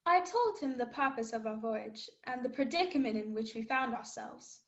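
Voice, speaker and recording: A woman's voice: fairly high pitch, lively intonation, moderate pace, dull audio, lightly acted. A woman playing a young cabin boy who narrates his adventure earnestly and dramatically in a radio play. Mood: steady.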